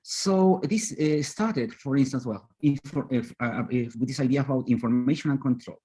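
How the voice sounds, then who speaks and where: A young man from Chile with a Chilean accent, a native Spanish speaker giving a loose presentation in halting, repetitive phrases. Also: mid-range voice, dark tone, husky. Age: young adult. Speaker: student panelist. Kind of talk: loose, semi-improvised presentation. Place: online video call.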